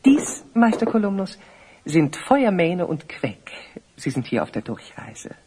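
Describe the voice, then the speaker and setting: An actor playing a dignified, courteous older village host with a breathy, very husky voice and formal speech in a fantasy audio play. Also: male voice, high voice, sing-song, dark tone, a little hiss or hum. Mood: hospitable. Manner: formal, measured, hospitable.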